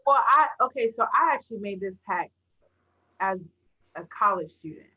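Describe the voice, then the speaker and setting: A woman's voice: mid-range voice, animated, moderate pace, slightly rough. A young adult woman on thin phone-like audio, chatting casually and candidly as a podcast co-host. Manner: candid, colloquial. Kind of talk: casual chat.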